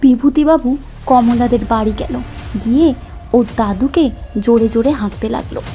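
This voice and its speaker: A woman's voice: a little high, normal speed, mellow, soft background music. A woman narrating a scripted cartoon folk story as the storyteller.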